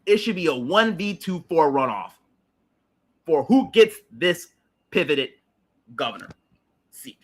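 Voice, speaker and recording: A man's voice: high voice, bright, forceful tone, low-quality recording. A man, hosting a livestream and ranting about politics in a heated, emphatic, repetitive way with blunt swearing. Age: late 30s. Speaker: livestream host.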